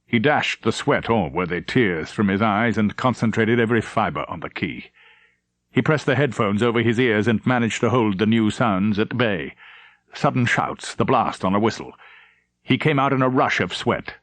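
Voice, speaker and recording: Dramatic male audiobook narrator, lively range. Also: fairly low pitch, moderate pace, no room sound. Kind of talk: dramatic scripted novel narration.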